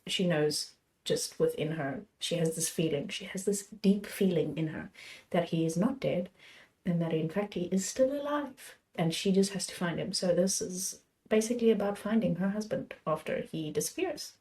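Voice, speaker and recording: Slightly hoarse woman rambling about books. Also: on the low side, moderate pace, dark tone. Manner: rambling, chatty, self-correcting. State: slightly hoarse, a little sick. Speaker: book channel host. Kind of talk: casual chat about books.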